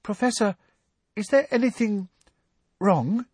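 Man doing a high, timid schoolgirl. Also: very animated, normal speed, husky, dry recording, studio quality, full character voice. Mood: hushed concern. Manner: hushed, tentative. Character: timid, concerned, admiring schoolgirl.

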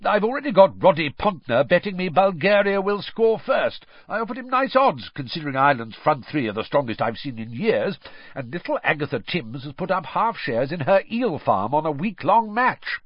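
A boisterous, middle-aged wizard official from a storybook, acted out by a man. He booms along eagerly with a carefree, boyish cheer. The pitch leaps about over a slightly rough, husky grain, recorded dry. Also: sing-song, moderate pace, no room sound, highs cut off, exaggerated voice. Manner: booming, eager, cheerfully careless.

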